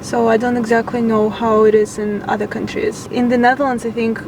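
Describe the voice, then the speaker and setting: A woman's voice: fairly high pitch, level tone, fairly quick. A woman hosting a casual interview, chatty and thinking aloud with fillers. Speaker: interviewer, channel host. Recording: distant from mic, noisy hum.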